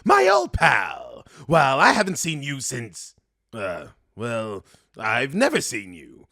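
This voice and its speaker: A crazed clown villain performs for a cartoon. The voice is gravelly and piercingly sharp, lurching up and down as he stretches his words. He is theatrical, gleeful and full of menace. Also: mid-range voice, huge pitch swings, no echo, soft background music, voice pushed up, exaggerated voice. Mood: manic, joking. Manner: theatrical, unhinged, drawn-out.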